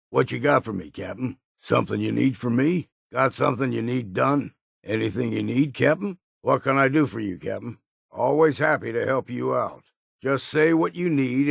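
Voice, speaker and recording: An actor playing a gruff, blunt, hard-drinking older island hunter in a video game, with a gravelly, raspy voice and a folksy rural drawl. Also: male voice, medium pitch, a bit bright, no echo, studio quality, full character voice. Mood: obliging. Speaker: companion character in a video game.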